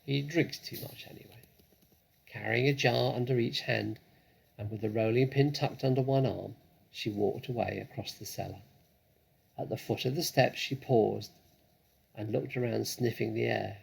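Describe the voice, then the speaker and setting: A man narrating a children's story in a deliberate, expressive, dramatic way. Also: medium pitch, gravelly, breathy edge, poor audio. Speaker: storybook reader.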